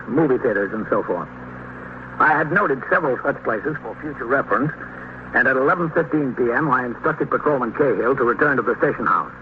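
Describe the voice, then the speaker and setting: An American man acting as a calm police captain in a 1950s radio drama, narrating and questioning in a measured, matter-of-fact, official way. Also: medium pitch, close to an everyday voice. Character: calm, businesslike male police captain in command. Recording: tinny, noisy old radio recording.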